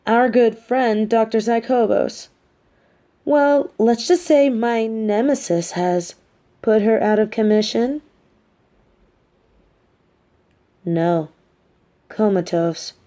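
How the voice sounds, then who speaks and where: A woman playing a coolly menacing, manipulative but sympathetic supervillain in a scripted audio roleplay, speaking deliberately with theatrical condescension. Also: mid-range voice, dark tone. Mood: sly, calculating.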